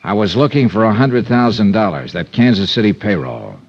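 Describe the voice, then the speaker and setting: An actor playing a hard-boiled, dryly sardonic American investigator, performing and narrating a vintage radio detective drama. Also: male voice, on the low side, sing-song, brisk, creaky, heavily acted. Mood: cool, accusing. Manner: dry, sardonic. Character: wisecracking hard-boiled freelance insurance investigator, cool under threat. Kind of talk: 1950s American radio drama acting and first-person narration. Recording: muffled old radio recording.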